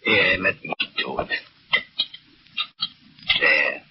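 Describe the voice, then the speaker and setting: A man acting a gruff, bossy, impatient crook in a radio drama, speaking curtly. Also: sits low, huge pitch swings, very slow, full character voice. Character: gruff, impatient male crook giving orders. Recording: noisy, dull old radio recording.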